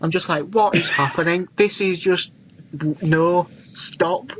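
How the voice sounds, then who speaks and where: A man's voice: high-pitched, slow, a bit bright, a little hiss or hum, low-quality recording. A man, co-hosting a podcast in casual, slangy film chat. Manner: informal, uses slang. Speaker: podcast co-host.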